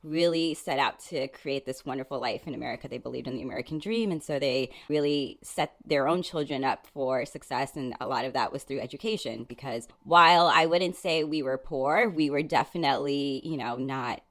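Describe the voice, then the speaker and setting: Low-voiced woman telling her story. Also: a little fast, hardly any pauses. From Brooklyn, New York. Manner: earnest storytelling, frequent "like" fillers. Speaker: podcast guest. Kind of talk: conversational interview.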